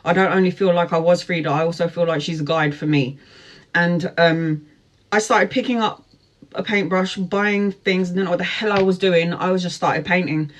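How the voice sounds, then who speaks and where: A man's voice: high voice, fairly even intonation, normal speed. A man in his forties, a tarot reader telling a rambling personal story to camera in a chatty way. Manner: rambling, chatty, frequent fillers and asides.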